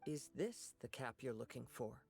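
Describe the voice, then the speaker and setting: A man voicing a calm, observant young wandering swordsman in a video game, husky and measured. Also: medium pitch, music in the background. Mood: measured, thoughtful.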